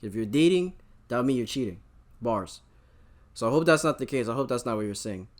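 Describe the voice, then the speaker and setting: A man hosting a reaction video, teasing and joking in rapid-fire banter. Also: mid-range voice, expressive. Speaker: reaction host.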